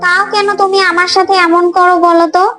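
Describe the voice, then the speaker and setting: Cartoon middle daughter-in-law, very high, meek, easily hurt, soft music behind. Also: female voice, little pitch movement, a little fast. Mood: hurt, pleading. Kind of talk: Bengali cartoon voice acting.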